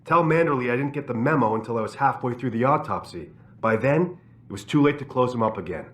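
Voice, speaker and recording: An actor playing a brusque, sardonic medical examiner in a video game, with a dry, offhand, impatient manner. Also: male voice, medium pitch, lively intonation, fast talker, a bit bright, close to an everyday voice. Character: brusque sardonic male medical examiner, grumbling and self-important. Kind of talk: video game cutscene acting.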